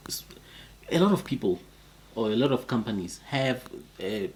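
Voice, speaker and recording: A man co-hosting a casual chat, his voice a little off from a flu, talking in a relaxed, musing way. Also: mid-range voice, moderate pace, low-quality recording. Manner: relaxed, musing, laughs easily. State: sick with flu, voice a bit off. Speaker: co-host.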